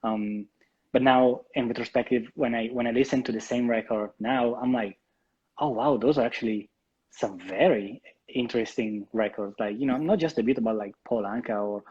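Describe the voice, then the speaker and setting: An Italian man in his thirties chats as a guest over a video call, his voice slightly husky. He picks his words slowly, with frequent ums and restarts. The audio is muffled and lo-fi, as heard through an online call. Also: medium pitch, a little mellow. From Italy. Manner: hesitant, many ums and restarts. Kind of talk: casual interview, live stream.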